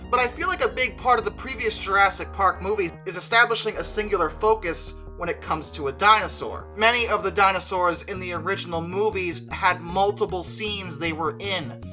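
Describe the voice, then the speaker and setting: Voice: mid-range voice, sharp tone. A video creator giving an opinionated, conversational movie review, stretching stressed words for emphasis.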